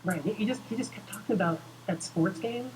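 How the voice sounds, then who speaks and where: A man co-hosting a livestream in casual, deadpan, halting banter, recorded from a distance. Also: high voice. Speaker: co-host of a live game preview stream. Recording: distant mic, faint background noise.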